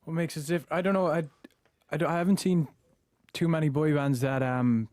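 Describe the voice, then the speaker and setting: A man's voice: on the high side, steady tone, brisk, darkish tone, no echo, clean studio sound. A man in his late teens with an Irish accent, talking casually as an interview guest. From Ireland. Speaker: boy band singer, interview guest. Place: radio studio. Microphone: studio microphone.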